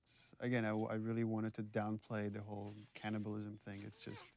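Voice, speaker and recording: A man's voice: fairly low pitch, completely flat delivery, fairly quick, darkish tone. A man talking casually as a game director giving commentary.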